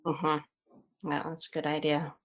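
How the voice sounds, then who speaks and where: A woman facilitating an online meeting, giving brisk, appreciative acknowledgments over a video call. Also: deep voice, monotone, muffled recording.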